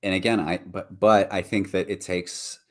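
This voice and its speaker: Man, very deep voice, low register, earnest, reflective, casual podcast talk. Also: flat delivery, normal speed. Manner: earnest, reflective, frequent "right?" and "like". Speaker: podcast co-host.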